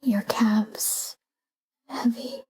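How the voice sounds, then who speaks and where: A woman softly guiding a sleep meditation in a calm, whispery, breathy voice, speaking in short, unhurried phrases with pauses. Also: medium pitch. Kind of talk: soft guided sleep meditation, whispered talk-down.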